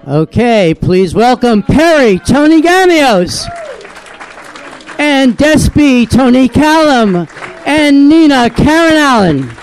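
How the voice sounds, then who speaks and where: A man's voice: extremely high pitch, big pitch swings, slow, faint music behind, a faint crowd. A man hosting a live onstage panel, chatty and teasing, laughing with his guests. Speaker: host moderating a live panel. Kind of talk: lively onstage Q&A, joking. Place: live theater event.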